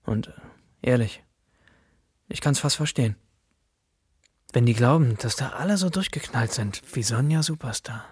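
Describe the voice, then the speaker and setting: An actor playing a disgruntled colleague, recounting things colloquially and sardonically in a radio drama. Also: male voice, mid-range voice, normal speed, long pauses, darkish tone, husky, no room sound. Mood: sardonic. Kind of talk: German radio drama monologue and dialogue.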